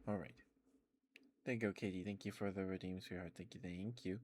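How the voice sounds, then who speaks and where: A man with a fairly low voice talks softly and gently into a close ASMR microphone. He reassures and chats with his stream audience in a calm, unhurried way. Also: slight background noise. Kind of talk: whispered ASMR affirmations and casual stream chat. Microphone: close ASMR microphone with headphones.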